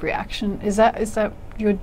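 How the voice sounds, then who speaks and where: A woman's voice: medium pitch, little pitch movement, moderate pace, crowd noise. A young woman from Denmark, a native Danish speaker, talking openly and easily as an author guest on a studio talk show. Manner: open, laughing, easygoing. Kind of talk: studio interview chat. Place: small studio with dark curtain.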